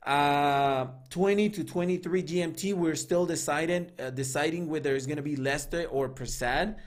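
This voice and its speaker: A man hosting a trading signals livestream, talking casually and loosely with many fillers and restarts, and reading out the live chat. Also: a little high. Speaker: trading signals group host.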